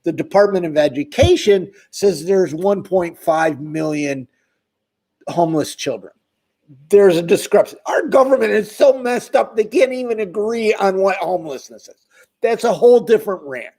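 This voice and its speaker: Emphatic high-voiced man ranting to viewers. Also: animated, moderate pace, darkish tone. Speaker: homeless advocate, channel host. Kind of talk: impassioned direct-to-camera talk.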